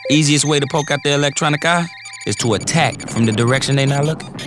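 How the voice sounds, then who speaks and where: A man's voice: a little high, fast talker, close to an everyday voice. A man playing a wisecracking tactical adviser over music. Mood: wry. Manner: casual slangy banter. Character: wisecracking male tactical adviser, casual, street-talking. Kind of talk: game cutscene briefing. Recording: music bed under the voice.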